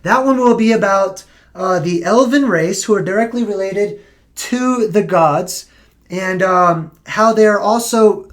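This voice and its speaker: A young man hosting a casual live stream, losing his voice, rambling and correcting himself as he talks. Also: high voice. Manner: rambling, self-correcting, conversational.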